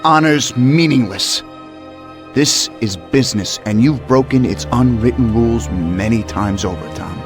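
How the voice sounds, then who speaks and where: An actor playing a treacherous, mocking mobster in a dramatic video game cutscene. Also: male voice, medium pitch, a little slow, natural voice. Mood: stern. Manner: mocking, theatrical delivery. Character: treacherous male mobster, mocking, cold, coolly businesslike. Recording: music behind the voice. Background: dramatic background music.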